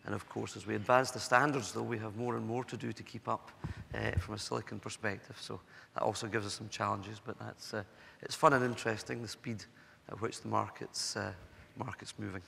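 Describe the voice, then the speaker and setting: A man with a cold and a hoarse voice, introducing himself on a conference panel in a measured way with many fillers. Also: lowish voice, fairly even intonation, a bit bright, a little hiss or hum. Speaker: panelist, semiconductor executive. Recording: echoey conference hall.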